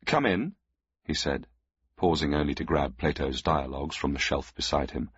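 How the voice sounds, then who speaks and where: Very deep-voiced male story narrator. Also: flat delivery, moderate pace, husky, no room sound, lo-fi sound.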